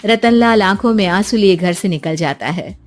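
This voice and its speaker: A woman's voice: medium pitch, normal speed, soft background music. A woman reading scripted story narration as a storyteller for a cartoon tale.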